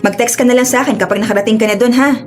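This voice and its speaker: High-voiced protective older sister played by a woman. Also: fairly even intonation, rapid-fire, soft background music, close to an everyday voice. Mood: caring, brisk. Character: protective older sister, married working woman, fiercely caring. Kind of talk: radio drama acting.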